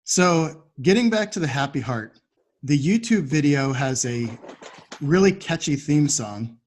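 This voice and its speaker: A man's voice: a little high, lively intonation, a little slow. An American man hosting a podcast interview in a conversational style. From Philadelphia, United States. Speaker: podcast host.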